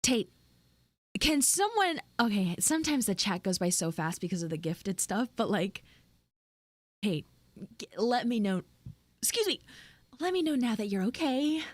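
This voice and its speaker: Woman, higher-pitched voice, dry sound, livestream host, casual chatty storytelling, expressive and exclamatory. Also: a little slow, pauses often, no echo. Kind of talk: live roleplay chat with viewers, casual storytelling.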